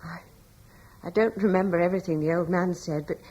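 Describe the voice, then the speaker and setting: An actress playing a devout, gentle young wife in a radio drama, speaking in an earnest, tender and slightly hesitant way. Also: female voice, on the low side, fairly even intonation, fairly quick, highs cut off, close to an everyday voice. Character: devout, gentle young wife in ancient times, calmly reassuring.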